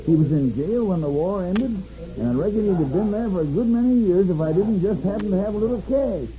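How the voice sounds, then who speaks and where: A man's voice: sits high, animated, brisk. An actor playing an elderly male rancher, a folksy and hopeful father, in a 1950s radio western. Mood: explaining, folksy. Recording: dull old radio recording, crowd noise behind.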